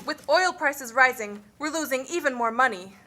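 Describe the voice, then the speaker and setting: Woman playing an earnest, high-voiced Nicaraguan explainer. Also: lively intonation, normal speed, bright, forceful tone, a little hiss or hum. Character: earnest Nicaraguan explainer of her country's hardship. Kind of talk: scripted puppet show exposition.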